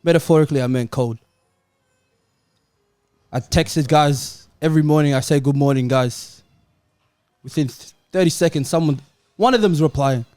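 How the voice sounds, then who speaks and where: A man's voice: fairly high pitch, normal speed, pauses often, darkish tone, smooth, dry recording, faint music behind. A man co-hosting a football podcast with a plaintive, melodramatic delivery, doing a mock-sad comic monologue to his friends. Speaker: podcast co-host.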